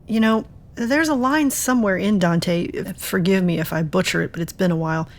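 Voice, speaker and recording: A woman's voice: on the low side, normal speed, close to an everyday voice. A woman playing an earnest, reflective woman searching for answers in an audio drama.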